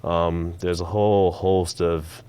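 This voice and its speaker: Deep monotone young man, measured. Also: clear, resonant tone. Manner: measured, hesitant restarts. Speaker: assistant professor, panel guest. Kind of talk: studio panel discussion. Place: TV studio.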